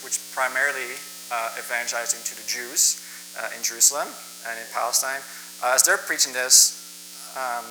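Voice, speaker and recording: A man's voice: medium pitch, pushed and bright, slight background noise, low-quality recording. A young man, a native Dutch speaker from the Netherlands who moved to Canada as a child, gives a plainspoken, informal sermon as a guest preacher. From Netherlands, moved to western Canada at ten. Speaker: guest preacher, college staff member. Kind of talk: sermon with personal introduction. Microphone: church microphone.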